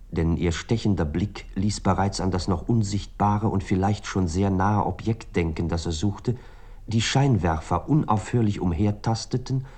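A man playing a cultured, reflective first-person narrator in a literary radio drama, speaking in measured, flowing sentences. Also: on the low side, a little mellow, close to an everyday voice. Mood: grave, lyrical.

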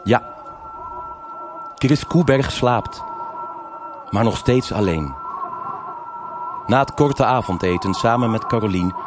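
A man narrating a scripted live noir radio drama in a measured, atmospheric way with short phrases. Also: fairly low pitch, noisy background. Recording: live stage with loud music.

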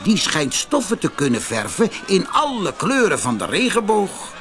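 A man's voice: high-pitched, huge pitch swings, moderate pace, a bit bright, slight background noise, voice pushed up, full character voice. A man voicing an old, grey-bearded merchant who speaks emphatically in a storybook tale. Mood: impressed. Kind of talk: storybook character voice.

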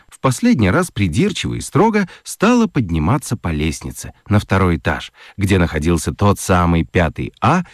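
A man reading a children's story aloud in a studio, telling it in an animated, playful way. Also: no room sound. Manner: animated, playful storytelling with wide pitch swings. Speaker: narrator. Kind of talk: scripted audiobook narration for children. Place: radio studio.

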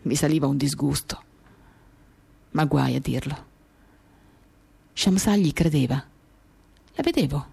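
A woman giving a measured, expressive dramatic reading of a first-person novel, pausing as she tells it. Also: deep voice, vocal fry, slightly rough. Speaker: reader of a literary work. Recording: acoustic guitar music behind the voice. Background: acoustic guitar accompaniment.

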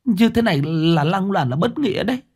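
Frightened village widow, put on by a male narrator, high and pleading, swooping pitch. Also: very animated, normal speed, warm tone. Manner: pleading, shrill with shame.

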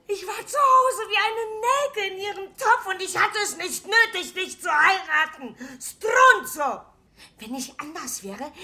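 An actress playing a bitter, furious shopkeeper's wife, scolding and insulting in a theatrical radio drama manner. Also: female voice, very high-pitched, sharp tone, exaggerated voice. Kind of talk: German radio drama acting.

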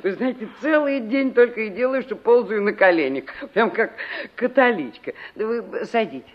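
A woman's voice: fairly high pitch, lively intonation, normal speed. A woman playing a chatty, fussy older village aunt in a radio play, talking garrulously with little hesitant hums. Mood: complaining. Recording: dull old radio drama recording.

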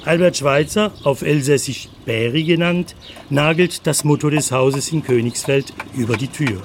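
A man's voice: fairly high pitch, normal speed, slight background noise. A man reading scripted documentary narration for a radio play in a matter-of-fact manner.

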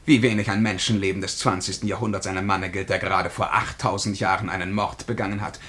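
An actor playing a haughty, menacing time traveller in a radio drama, declaiming in a pompous, commanding way. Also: male voice, sits low, flat delivery, fast, a bit bright. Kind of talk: German radio drama acting.

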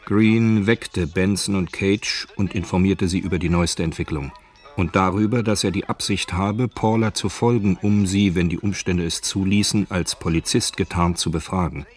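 Deep-voiced male radio drama narrator. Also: steady tone, moderate pace, soft background music.

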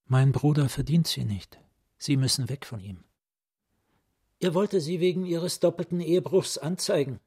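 An actor playing a scheming middle-aged gentleman who flatters and commands with calculated courtesy in a radio play. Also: a little high, normal speed, breathy edge, no echo, clean studio sound. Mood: commanding, persuasive. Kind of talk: German literary radio play acting.

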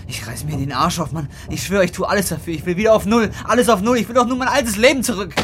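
A man's voice: sits high, quick pace, close to an everyday voice. An actor in his twenties playing a desperate, broke young man who swears in coarse slang, in naturalistic film drama style. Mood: desperate, pleading. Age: 20s. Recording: clearly noisy film location sound.

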